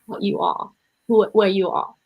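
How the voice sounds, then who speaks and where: A woman's voice: mid-range voice, level tone, moderate pace, muffled recording. A woman from Japan, speaking English as a second language, hosting a podcast interview in an earnest, emphatic way. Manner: earnest, emphatic, repeats points for stress. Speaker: podcast host. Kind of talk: conversational interview.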